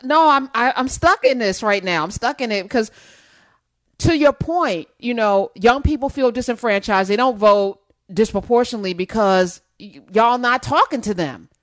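Woman host, emphatic and animated, higher voice, dead-dry recording. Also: moderate pace, no room sound. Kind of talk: casual political chat.

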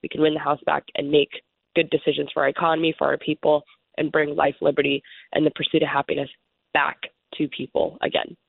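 A woman's voice: low-pitched, level tone, creaky, breathy edge, dry recording. Woman from Somalia on a tinny phone line. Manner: earnest, measured, political talking points. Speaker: congressional candidate. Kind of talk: guest answering interview questions. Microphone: phone call.

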